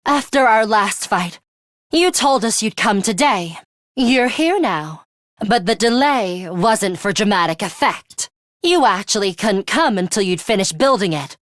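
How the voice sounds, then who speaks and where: A woman voicing a cool, guarded young female fighter in a video game, with a measured, composed and imperious way of speaking. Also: mid-range voice, animated, dry recording, studio quality, natural voice. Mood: coolly confrontational. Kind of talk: English video game voice acting, dramatic anime-style dialogue.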